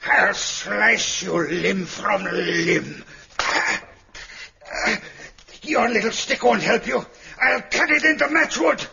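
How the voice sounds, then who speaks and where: An actor playing a ruthless, pompous megalomaniac villain in a radio drama, theatrical and menacing. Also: male voice, high voice, takes it slowly, vocal fry, a crowd in the background, full character voice. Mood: furious. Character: ruthless, grandiose male megalomaniac villain with a sword. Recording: dull lo-fi radio recording.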